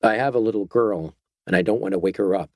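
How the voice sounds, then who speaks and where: Tense doctor on the run, male, low clipped lines, dry sound, read by a man, audiobook. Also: fast talker, creaky, dry recording.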